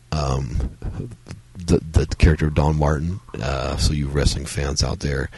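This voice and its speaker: A man's voice: darkish tone, dry recording. A man hosting a solo film review podcast, talking loosely with lots of fillers, his voice strained by a cold. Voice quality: very deep, gravelly. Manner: rambling, many fillers and restarts. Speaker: podcast host reviewing films. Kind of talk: solo casual review talk.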